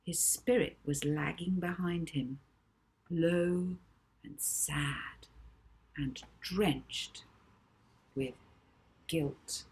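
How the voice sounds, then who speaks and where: A woman author reading her children's novel aloud with dramatic, deliberate emphasis. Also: low voice, darkish tone, breathy edge, poor audio. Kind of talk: expressive children's audiobook narration.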